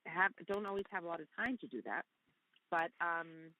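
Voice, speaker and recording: A woman's voice: fairly low pitch, normal speed, phone-quality sound. A woman from Canada whose first language is Hungarian, talking effusively as a guest actress in a casual interview.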